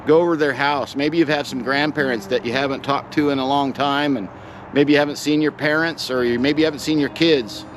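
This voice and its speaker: A man's voice: mid-range voice, steady tone, brisk, slight background noise. A middle-aged man sharing a personal story in a reflective, sincere, plain-spoken way, as if chatting to viewers. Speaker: pipe vlogger telling a personal story.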